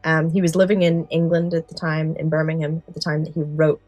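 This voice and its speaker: A woman's voice: deep voice, little pitch movement, fairly quick, a little mellow, no room sound, faint music behind. A woman co-hosting a podcast, explaining a favourite subject with enthusiasm, moving between prepared summary and casual discussion. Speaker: podcast co-host.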